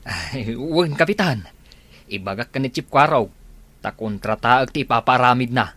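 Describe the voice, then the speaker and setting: A man's voice: medium pitch, animated, moderate pace, a bit bright, lightly acted. A man with an Ilocano Filipino accent playing a sheepish, pleading jobless petty thief in a radio comedy drama. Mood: contrite, eager.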